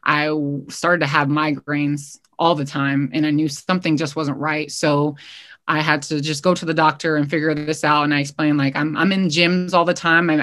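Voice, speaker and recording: A woman's voice: deep voice, a bit bright, no echo. A young American woman speaking as an interview guest, reflecting earnestly on a coaching career in a conversational way. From Hawaii, United States.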